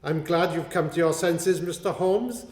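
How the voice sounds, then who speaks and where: A man's voice: fairly high pitch, measured pace, close to an everyday voice. A man playing a besotted, flustered prince and henpecked husband in a comic radio play. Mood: discreet relief. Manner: fawning, flustered. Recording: echoey hall, faint music behind.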